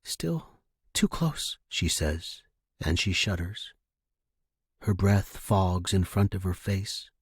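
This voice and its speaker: Slow, husky man narrating fiction. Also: sits low, little pitch movement, dry recording, clean studio sound. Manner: measured, deliberate phrasing in short clauses. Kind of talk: dramatic scripted fiction narration, first-person present tense.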